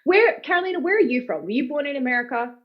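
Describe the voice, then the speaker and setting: A woman's voice: high-pitched, very animated, quick pace, lo-fi sound. An Australian woman, a voice actor, talking in a casual, informal, rambling way. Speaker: voice actor being interviewed. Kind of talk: casual chat.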